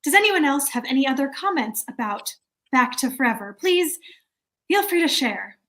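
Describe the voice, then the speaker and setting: A woman's voice: high voice, sing-song, normal speed, a bit bright. A woman acting a prim, officious committee chairwoman with a formal, fussy manner, in an amateur play reading. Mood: businesslike.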